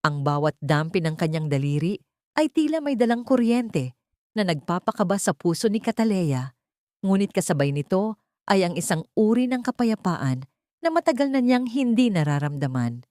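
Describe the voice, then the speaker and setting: A woman narrating a scripted romantic drama with solemn, measured, dramatic storytelling. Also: mid-range voice, big pitch swings, warm tone, no echo, studio quality.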